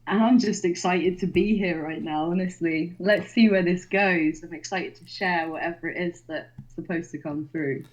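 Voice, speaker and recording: A young woman raised in the UK, telling her story as a podcast guest in a casual, excited way with lots of "like". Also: lowish voice, normal speed, few pauses. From Poland, raised in the UK. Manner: excited, chatty, fillers of "like". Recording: dull lo-fi sound.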